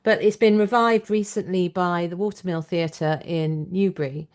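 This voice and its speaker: A woman's voice: lowish voice, steady tone, moderate pace, darkish tone. A British woman hosting a conversational interview, talking in a chatty, opinionated and enthusiastic way. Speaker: podcast host and interviewer.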